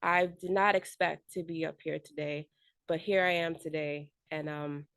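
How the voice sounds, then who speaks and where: Woman, forties, lowish voice, earnest, halting with ums, dry close sound, meeting room. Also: fairly even intonation, fairly bright tone, dry recording. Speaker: school board candidate. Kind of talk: unprepared speech at a community meeting.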